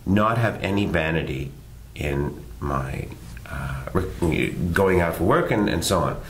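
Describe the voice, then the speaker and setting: A middle-aged man from Canada, a guest actor talking in a relaxed, self-deprecating and rambling way in a casual interview. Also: deep voice, slow, slight background noise.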